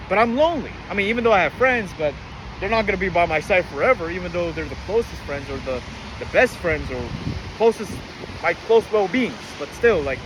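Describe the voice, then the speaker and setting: A nineteen-year-old man talks earnestly to the camera in a slightly high voice, rambling with lots of fillers and restarts. He is outside in the rain, and the recording is full of wind and outdoor noise. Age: late teens. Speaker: vlogger. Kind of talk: casual monologue to camera.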